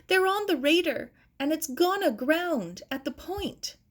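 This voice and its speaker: A woman's voice: extremely high pitch, animated, measured pace, voice pushed up. A woman voicing an anxious, plucky young Cockney girl from London in a storybook reading. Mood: alarmed. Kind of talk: acted children's audiobook dialogue.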